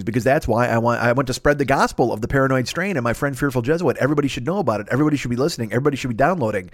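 A man's voice: medium pitch, no echo. A talkative man delivers a comic podcast monologue in a mid-range voice. He wanders off on tangents, swears freely and pokes fun at himself. The sound is dry, with no room to it. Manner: loud-mouthed, talkative, digressive; profane, self-deprecating. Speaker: podcast host. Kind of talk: rambling comic monologue with sponsor plugs.

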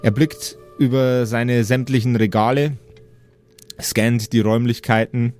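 A male game master improvises a fantasy story in a medium-pitched voice. He feels his way through sentences and repeats words for emphasis. Light music sits under him. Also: steady tone, a little mellow. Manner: halting, emphatic repetition of words.

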